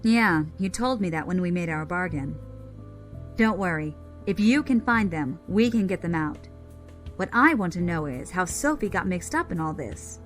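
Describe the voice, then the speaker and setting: An actress playing a tough, guarded space pirate leader in an audio drama, terse and commanding. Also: female voice, on the low side, expressive, normal speed, soft background music, natural voice.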